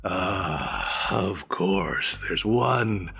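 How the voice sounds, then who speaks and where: Male actor as supervillain boss, low-pitched, bright forceful tone, petulant, grandiose, melodramatic, comedic audio drama. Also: animated, extremely slow, creaky, slightly rough, soft background music, exaggerated voice. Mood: grudging, exasperated. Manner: pompous, melodramatic. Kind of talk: scripted comedic audio roleplay.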